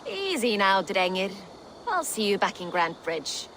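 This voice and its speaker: A woman's voice: mid-range voice, sing-song, normal speed, noisy background. An actress playing a cocky, commanding Viking warrior woman with a wry, teasing manner in a video game cutscene. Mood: wry, confident.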